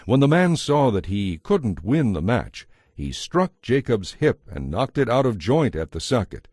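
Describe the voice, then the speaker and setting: A man narrating a dramatized audio Bible in a measured, scripted storytelling style. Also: medium pitch, very animated, a little mellow, husky, dry recording, studio quality.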